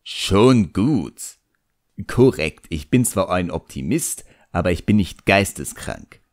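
A man's voice: low voice, sing-song, slow pace, darkish tone, heavily acted. A man voicing a sardonic, cunning ancient djinn who grumbles and mocks, in an audiobook. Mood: grumpy. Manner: sarcastic, grumbling. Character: sardonic, ancient male djinn, cunning, grudgingly obedient servant.

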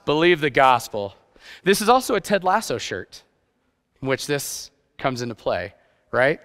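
A man's voice: mid-range voice, big pitch swings, unhurried, fairly bright tone. A middle-aged man giving an informal sermon in a self-deprecating, conversational way with rhetorical questions. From grew up partly in Japan. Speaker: guest preacher, youth pastor. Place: church stage, indoors. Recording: reverberant hall sound.

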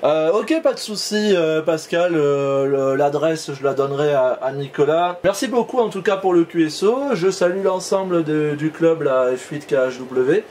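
Higher-pitched man chatting, hesitant delivery. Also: lively intonation, moderate pace, hardly any pauses, a little mellow, a little hiss or hum. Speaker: amateur radio operator, channel host. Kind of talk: casual radio contact chat.

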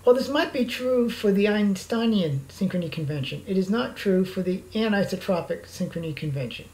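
A woman's voice: mid-range voice, darkish tone, poor audio. Woman reading a scripted slideshow lecture. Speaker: narrator of science slideshow.

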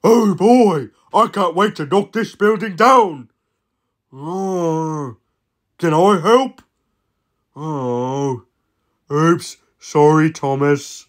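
An eager, bumbling cartoon construction machine, acted by a man, with a high, swooping voice. It drags out its words, repeats itself and sounds forever apologetic. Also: animated. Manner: exclamatory, drawn-out, repeats phrases. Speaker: voice actor performing a character reel. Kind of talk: animated children's show character acting.

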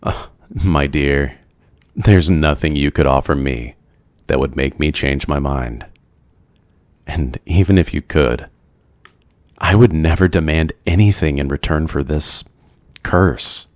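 A man voicing a brooding, lonely ancient vampire in an ASMR roleplay, gentle, courteous and soft-spoken, with hesitant pauses. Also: very deep voice, no room sound. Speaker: roleplay voice performer. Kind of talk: ASMR boyfriend roleplay, scripted.

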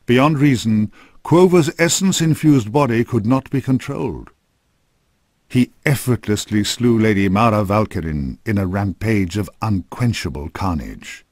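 A man with a fairly low voice delivers scripted fantasy narration. His storytelling is grave and measured, as for a dark video game saga. The sound is dry and close, free of room echo. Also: expressive.

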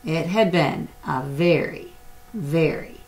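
A middle-aged woman reading a picture book aloud to young children in a simple, deliberate storytelling way. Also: low-pitched, a little mellow, rough recording. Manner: simple, deliberate storytelling with acted animal sounds. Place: small indoor room at home or classroom.

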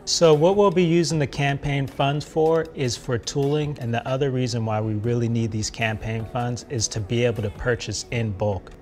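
A man's voice: medium pitch, fairly even intonation, moderate pace, hardly any pauses, darkish tone, slight background noise. A man in his thirties, a company co-founder, explaining a product earnestly and conversationally in a promotional interview. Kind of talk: casual promotional interview talk. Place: large open office, indoors. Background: background music.

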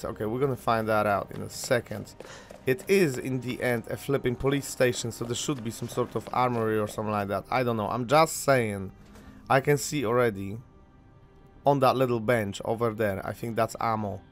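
A young man from Poland whose first language is Polish, rambling casually with fillers as he commentates a video game on a headset mic. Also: mid-range voice. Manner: chatty, rambling, lots of fillers. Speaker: gaming channel host.

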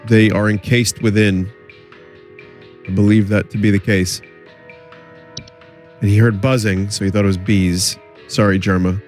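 A man's voice: fairly low pitch, level tone, measured pace, long pauses, darkish tone, faint music behind. A man doing live game commentary as a streamer, reading in-game text aloud.

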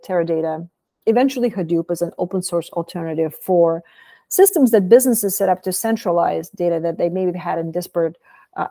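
A woman in her thirties giving a recorded product talk over slides, explaining in a measured way with some fillers, filmed by webcam in a small room. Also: lowish voice, mellow, no room sound.

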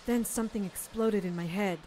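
A woman's voice: mid-range voice, a little fast, noisy background, lightly acted. A woman playing a first-person narrator in a supernatural radio drama, with descriptive storytelling delivery. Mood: tense recounting.

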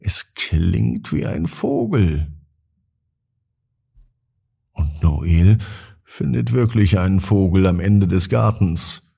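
A man narrating a scripted bedtime story for children in a gentle, drawn-out, expressive way. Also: sits low, gravelly, slightly rough.

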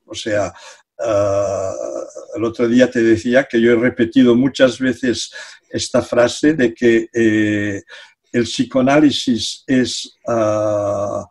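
Older Catalan man, husky video-call voice. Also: mid-range voice, fairly even intonation, takes it slowly, a little mellow. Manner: hesitant, repeats words, laughs between phrases. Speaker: guest, psychology professor. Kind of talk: casual conversational interview. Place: home study with bookshelves. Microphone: video call webcam.

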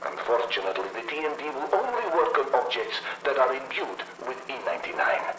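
A man's voice: medium pitch, sing-song, fairly quick, a bit bright. An actor playing a proud Soviet research scientist, formally presenting his experiments in a 1950s archival film. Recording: old film soundtrack, roomy, distant, faint noise.

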